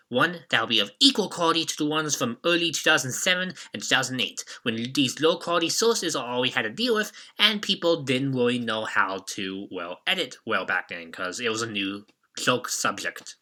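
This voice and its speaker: A man's voice: medium pitch, pushed and bright. A man hosting a game retrospective, giving scripted commentary with casual asides in a jokey, mock-dramatic manner.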